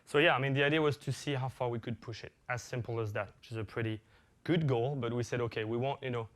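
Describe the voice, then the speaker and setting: A man's voice: mid-range voice, steady tone, fast talker. A man whose first language is French, giving a conference talk as an executive producer, with many fillers and restarts.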